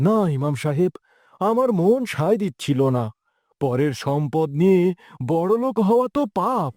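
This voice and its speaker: A man's voice: sits high, big pitch swings, normal speed, darkish tone, dry recording, studio quality. A man playing an honest, humble village farmer in a cartoon, earnest and exclamatory. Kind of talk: cartoon dialogue.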